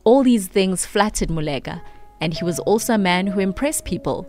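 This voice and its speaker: A woman's voice: mid-range voice, expressive, moderate pace, over music. A woman co-hosting a morning radio show, chatting casually and then reading a book passage aloud in a radio studio.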